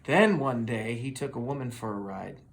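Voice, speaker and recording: A storybook reader tells a children's tale aloud. The pitch moves around in a lively way, and the delivery is expressive and dramatic. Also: moderate pace. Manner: expressive, with dramatic sound words.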